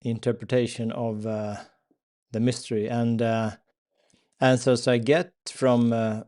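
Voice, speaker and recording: Slow, hesitant Swedish-accented man. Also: medium pitch, level tone, dark tone. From Sweden. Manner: slow, hesitant, many fillers and pauses. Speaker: spiritual live-stream host. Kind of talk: unscripted spiritual talk.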